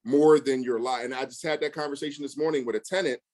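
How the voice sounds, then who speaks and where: A man's voice: medium pitch, steady tone, fast, darkish tone. A man talking casually and bluntly, full of slang and emphasis, acting out past conversations in quotes as he tells stories. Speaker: real estate investor.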